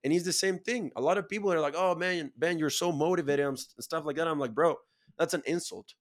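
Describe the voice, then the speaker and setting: A man's voice: fairly high pitch, fairly quick. Earnest man, emphatic podcast host from Chile. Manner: earnest, emphatic, slangy "bro" and "dude". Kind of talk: casual motivational conversation.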